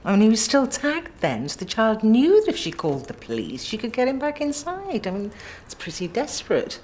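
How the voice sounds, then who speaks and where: A woman in a British TV drama, brisk and thinking things through aloud. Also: a little high, wildly swooping pitch, a little mellow, a little hiss or hum.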